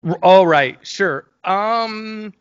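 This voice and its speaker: A man's voice: sits high, drawn-out words, clean tone, no echo, lo-fi sound. A middle-aged man chatting casually as an interview guest, often stopping and restarting his sentences. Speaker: interview guest, fantasy film producer.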